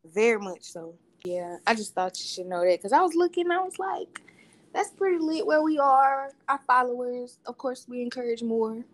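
A woman's voice: on the high side, lively intonation, normal speed. A woman co-hosting a podcast in a casual chat, playful, laughing often and using slang. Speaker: podcast co-host.

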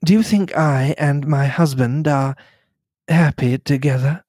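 A man reading the lines of a reserved young English wife, speaking quietly and in a measured way. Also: a little high, steady tone, dry recording, clean studio sound. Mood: thoughtful.

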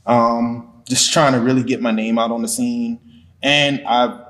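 A man's voice: mid-range voice, fairly even intonation, unhurried, a bit bright. A man who is a DJ, a guest talking in a laid-back, hesitant way with some joking. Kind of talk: casual interview chat.

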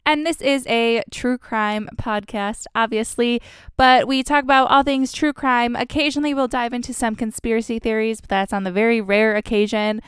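A woman's voice: a little high, steady tone, moderate pace, a bit bright, clean tone, no room sound, clean studio sound. Chatty young woman hosting a podcast, congested. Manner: chatty, lots of "like" and "um" fillers. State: congested, sick with a cold. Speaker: podcast host. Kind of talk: casual true crime podcast narration.

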